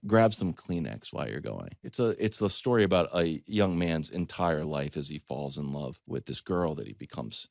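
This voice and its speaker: Low-voiced man telling stories casually. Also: vocal fry, a little mellow, dry recording, studio quality. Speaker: sports show host. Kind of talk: casual anecdotal storytelling and sports opinion.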